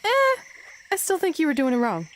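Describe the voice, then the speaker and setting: An actress playing a plucky, teasing young wrestler sidekick in a video game, casual and encouraging. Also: female voice, high-pitched, huge pitch swings, brisk, a little hiss or hum.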